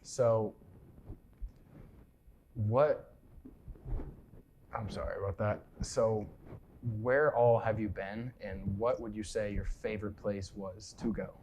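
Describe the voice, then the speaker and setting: A man's voice: fairly low pitch, steady tone, slow, long pauses, a little mellow, a little hiss or hum. A man hosting a morning show, interviewing a guest in a friendly, easygoing way. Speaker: TV show host.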